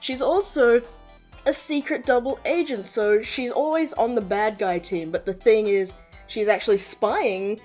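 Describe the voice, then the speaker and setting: A woman with a fairly high voice talks casually and with enthusiasm. She swears freely while hosting and explaining game lore, with faint music behind her. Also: unhurried, a little mellow. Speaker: host quizzing a partner on game characters. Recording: background music under the voice.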